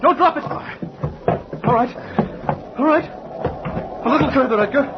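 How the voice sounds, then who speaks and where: A man's voice: very high-pitched, a little slow. An actor playing a frantic, desperate man who stammers and pleads for help, in 1940s radio acting, on a muffled, noisy old radio recording. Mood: urgent. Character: frantic desperate man clinging to his box.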